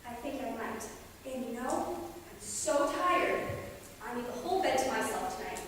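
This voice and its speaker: A woman acts out an earnest stage-play wife who insists her house is haunted. Her voice is high and her delivery emphatic and pleading. It carries across a very echoey hall from a distant mic, with faint noise. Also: expressive, moderate pace, fairly bright tone. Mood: weary, cool. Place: theatre hall at a staged reading.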